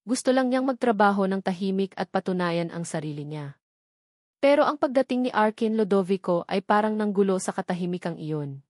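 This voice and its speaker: A woman's voice: medium pitch, expressive, a little fast, darkish tone, no echo. Filipino woman narrating a romance, dry close sound. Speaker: storyteller. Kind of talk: scripted narration of a romance story.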